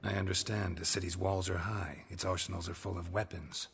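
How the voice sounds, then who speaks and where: An actor with an American accent playing a gruff, stoic monster hunter in a video game, terse and deadpan. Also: male voice, low voice, brisk, slight background noise, heavily acted. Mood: flat, curious. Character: gruff, stoic monster hunter, grown man, dryly unflappable.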